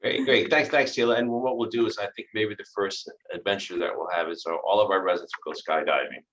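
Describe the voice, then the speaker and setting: A man's voice: fairly low pitch, fast talker, fairly bright tone. A middle-aged man hosting a video call from a small office, introducing staff with upbeat thanks and frequent repeated words. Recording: dull video call audio.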